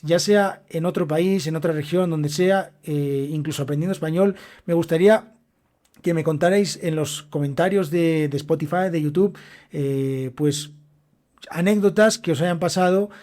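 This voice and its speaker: Mellow-voiced man with a Castilian accent. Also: on the high side, normal speed. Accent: Castilian Spanish. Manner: direct, explanatory, speaks straight to learners. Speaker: podcast host and Spanish teacher. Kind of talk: solo educational podcast monologue.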